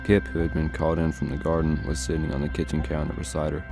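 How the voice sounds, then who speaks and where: A man playing a measured storybook narrator, reading scripted narration in a radio play. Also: a bass voice, level tone, music in the background.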